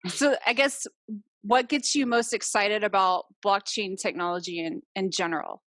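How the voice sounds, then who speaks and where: A woman's voice: mid-range voice, fairly even intonation, normal speed, fairly bright tone, no room sound. Give me a woman hosting an interview, upbeat and encouraging, with a giggly edge to her questions. Her voice sits mid-range, and the sound is dry and close. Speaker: interviewer, host. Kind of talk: casual interview.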